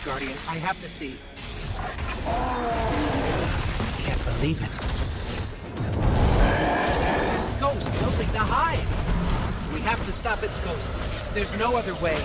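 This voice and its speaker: An earnest little floating machine sidekick for a video game. The voice is expressive and talkative, swinging widely in pitch, with an anxious edge. Music plays clearly behind it. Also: male voice, full character voice. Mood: shocked, urgent. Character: small floating robot ghost companion, earnest, talkative, anxious. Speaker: companion guide to the player.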